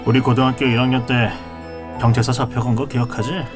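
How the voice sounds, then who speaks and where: A man playing a young male police platoon leader who speaks in a calm, measured, questioning way, in a radio drama. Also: medium pitch, close to an everyday voice. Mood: probing. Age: young adult. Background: background music.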